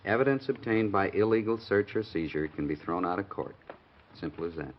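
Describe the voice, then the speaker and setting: A man playing a calm, probing police sergeant in a 1950s radio drama. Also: sits low, lightly acted. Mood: explaining. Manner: measured, questioning. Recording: dull old radio recording.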